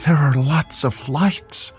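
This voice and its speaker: An actor playing a suggestible, compliant male patient in a radio drama, with a meek and halting way of talking. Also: fairly high pitch, faint music behind. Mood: entranced, fearful.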